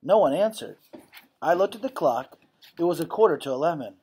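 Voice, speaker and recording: A man reads a storybook aloud in an expressive way. His voice is a little high for a man and somewhat husky, with lively rises and falls as he tells the story. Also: a little fast. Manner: expressive storytelling with dramatized dialogue. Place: indoor classroom. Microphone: webcam or laptop at desk distance.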